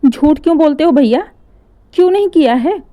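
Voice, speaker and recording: A woman's voice: high-pitched, lively intonation, moderate pace, dark tone, voice pushed up. A woman voicing a young married daughter who cries and accuses her brother. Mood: tearful. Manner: accusing, crying.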